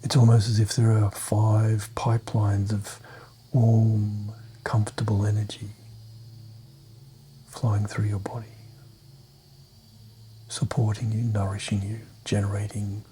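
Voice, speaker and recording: Slow, low, soft-spoken male meditation guide. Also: a little hiss or hum. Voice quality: soft, breathy, half-whispered. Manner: calm, gentle, soothing, with long pauses between short phrases.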